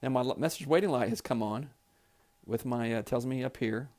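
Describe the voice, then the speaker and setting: A man's voice: medium pitch, a little fast, darkish tone. A man giving a step-by-step, plain-spoken instructional tutorial as a trainer demonstrating office equipment.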